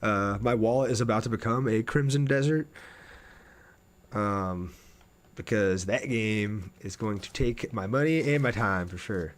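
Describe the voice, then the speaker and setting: A man's voice: lowish voice, a little slow. A man streaming a game, chatting casually and in a laid-back way with his viewers as he plays. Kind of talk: casual livestream chat over gameplay.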